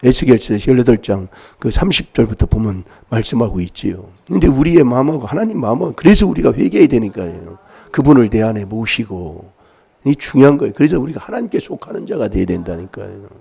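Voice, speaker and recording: A man's voice: medium pitch, very animated, brisk, gravelly, warm tone, slightly rough. A man preaching an emphatic sermon in a church, prodding his listeners with rhetorical questions while he has a cold. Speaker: pastor.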